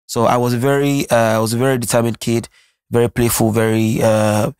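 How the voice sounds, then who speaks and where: Earnest Nigerian man, steady flat delivery. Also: mid-range voice, fairly quick, clean tone, dry recording, studio quality. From Nigeria (Lagos). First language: Yoruba. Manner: earnest, reflective, frequent restarts. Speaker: musician guest. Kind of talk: candid interview conversation.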